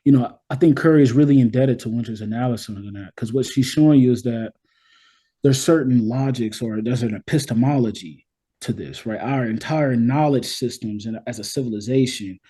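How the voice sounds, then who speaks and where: A man's voice: medium pitch, brisk, mellow, husky. A man talking through ideas as an interview guest in an emphatic, conversational way, often checking that the listener follows. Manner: emphatic, checks in with "right?" and "you know what I mean?". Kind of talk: conversational explanation of social theory.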